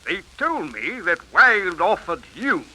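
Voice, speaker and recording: An actor playing a determined, shrewd lawman with brisk authority, in 1930s radio drama style. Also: high voice, sing-song, pushed and bright, heavily acted. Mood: firm, persuasive. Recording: scratchy 1930s radio broadcast.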